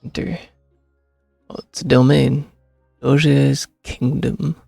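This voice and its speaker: A man's voice: mid-range voice, level tone, slow pace, gravelly, dark tone, dry recording, studio quality, soft background music. A young man casually commenting while he plays a game, with offhand, musing remarks. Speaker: gameplay streamer.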